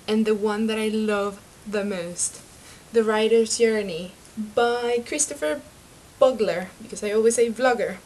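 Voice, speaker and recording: A young woman from Venezuela, chatty and excitable, talking to her webcam about books in a small bedroom. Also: on the high side, a little hiss or hum, rough recording. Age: young adult. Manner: chatty and excitable, laughs at her own slips. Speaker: book vlogger. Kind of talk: casual chat to camera. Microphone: webcam mic.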